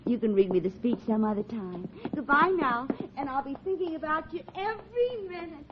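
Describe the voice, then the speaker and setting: A woman's voice: sits high, lively intonation, normal speed, a crowd in the background. An actress playing a breezy, sweetly fickle young lady in a 1940s radio comedy. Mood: breezy, coy. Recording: muffled 1940s radio recording.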